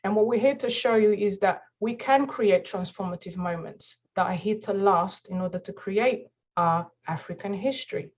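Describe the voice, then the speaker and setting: A woman from Mozambique, an empowerment coach hosting the meeting, presenting in an upbeat, affirming way and repeating phrases for emphasis. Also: mid-range voice. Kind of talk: motivational presentation on a video call. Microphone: video call. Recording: slightly dull video-call audio.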